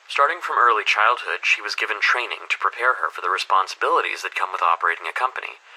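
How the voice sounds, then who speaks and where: An actor playing an anxious, troubled school headmaster in a video game, delivering an earnest, halting confession. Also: male voice, sits low, pushed and bright, husky, full character voice, poor audio. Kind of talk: dramatic recorded confession monologue.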